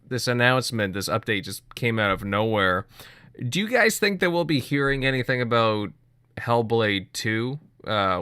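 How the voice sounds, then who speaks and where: A man on a gaming podcast panel, giving his views in a relaxed way, pausing on "uh" as he thinks. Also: on the low side, smooth, no room sound, clean studio sound. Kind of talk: casual chat.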